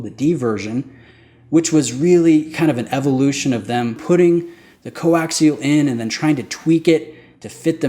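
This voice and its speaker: A man's voice: mid-range voice, darkish tone. A man hosting his own channel, talking casually and with enthusiasm as he explains the details of a watch. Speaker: watch reviewer. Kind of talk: casual product review to camera.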